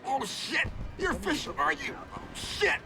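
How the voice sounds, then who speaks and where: A man's voice: extremely high pitch, huge pitch swings, a little slow, creaky, over music, heavily acted. An actor playing a frightened male hired tail in a video game, blurting answers in a strained, fearful way. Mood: scared confession. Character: frightened male hired tail spilling secrets under threat. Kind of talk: video game cutscene acting.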